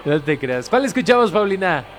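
A man's voice: sits high, very animated, fairly quick, slight background noise. A man with a Mexican accent hosting a morning radio show, bantering and teasing callers in a playful way. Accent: Mexican Spanish. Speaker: radio host. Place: radio studio. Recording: radio broadcast with music underneath.

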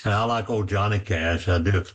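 An easygoing man with a baritone voice, chatting casually. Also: normal speed. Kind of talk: casual chat.

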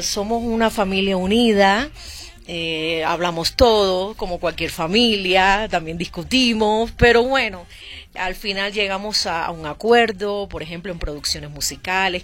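A woman's voice: mid-range voice, moderate pace, fairly bright tone. A woman with a Cuban accent, a singer and actress, chatting as a radio guest with frequent hesitant fillers. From Cuba. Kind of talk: casual radio interview. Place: radio studio booth.

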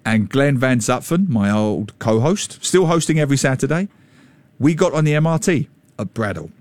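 A man in his fifties talks on a radio show in a mid-pitched, loud, lively voice. He tells jokey stories in a chatty way. The sound is close and dry. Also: normal speed, dry recording. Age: 50s. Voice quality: slightly loud voice. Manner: chatty, jokey, animated storytelling. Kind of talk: casual radio talk. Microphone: studio microphone.

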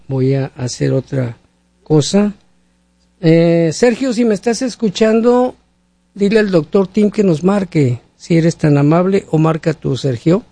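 A man's voice: fairly high pitch, vocal fry, warm tone, no room sound. A man hosting a radio show, chatting casually, laughing often and correcting himself. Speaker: radio host.

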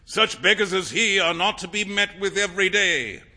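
A man voicing a loud, witty male portrait painter in a storybook reading, with an emphatic, theatrical manner. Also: high-pitched, normal speed, in a large hall, voice pushed up, heavily acted. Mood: boisterous.

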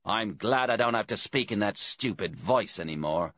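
A man playing a smug, husky bent detective. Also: on the low side, animated, moderate pace, fairly bright tone, dry recording. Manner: cheerfully taunting, casual. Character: smug, cynical, corrupt male police detective inspector.

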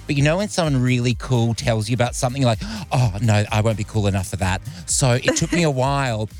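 A man's voice: medium pitch, expressive, fast, darkish tone, soft background music. A man with an Australian accent chatting as an interviewer in a gushing, enthusiastic way.

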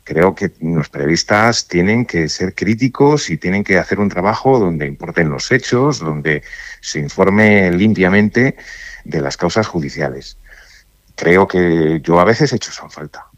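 Deep-voiced man, halting and thoughtful. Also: animated, no echo. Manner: thoughtful, halting, many restarts and fillers. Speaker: judge interviewed on radio. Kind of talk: radio interview answers.

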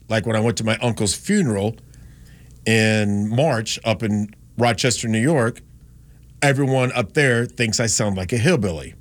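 Chatty self-mocking radio host man. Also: mid-range voice. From Gastonia, North Carolina. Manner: rambling, self-deprecating, chatty. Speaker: radio talk show host. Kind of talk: casual talk radio chat. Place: radio studio. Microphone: studio microphone.

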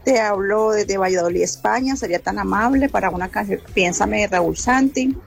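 A woman's voice: mid-range voice, normal speed, creaky, faint music behind. A woman radio listener giving a brief greeting and song request.

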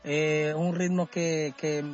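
A man from Venezuela talking casually as a guest in a radio interview, halting and often searching for his words. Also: on the high side, little pitch movement, muffled recording, soft background music. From Caracas, Venezuela. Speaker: musician being interviewed.

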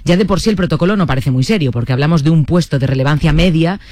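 A woman's voice: low-pitched, fast talker, dark tone, no room sound. A woman with a Castilian Spanish accent presenting a live radio news bulletin.

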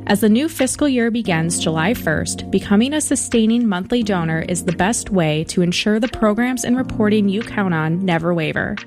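A woman's voice: medium pitch, animated, normal speed, hardly any pauses. Polished woman announcer over music. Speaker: station announcer. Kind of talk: scripted fundraising promo. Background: music bed.

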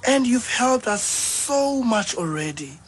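A woman's voice: on the high side, animated, takes it slowly, low-quality recording. Shy young farm boy, worried and earnest, with faint background noise; woman performing. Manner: hesitant, earnest.

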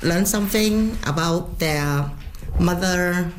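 A woman with a Cantonese accent talks as a kindergarten language teacher in an interview. She is a native Cantonese speaker with non-native English phrasing and a slightly low pitch. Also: slow, noisy background. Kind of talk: interview answers.

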